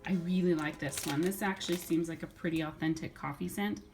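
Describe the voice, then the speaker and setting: A middle-aged woman talks in a lowish, slightly hoarse voice, as if she is fighting off a cold. She chats casually and rambles, restarting her sentences and filling pauses as she goes. A faint hiss of noise sits under her voice. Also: fairly even intonation, a little mellow. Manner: chatty, rambling, with many restarts and fillers. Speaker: vlogger. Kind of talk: casual haul video chat.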